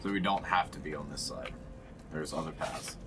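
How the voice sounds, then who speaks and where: A man's voice: extremely low pitch, normal speed, noisy background. A man playing a tabletop role-playing game, talking casually and bluntly as he declares his actions.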